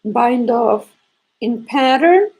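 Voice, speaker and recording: Knitting teacher, woman, hoarse from a cold; gravelly, husky, unhurried, repeats points. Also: a little high, lively intonation, a little mellow, rough recording. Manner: slow, repetitive explaining with restarts. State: sore throat, congested, coughing. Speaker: knitting teacher hosting a live stream. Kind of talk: casual live knitting lesson.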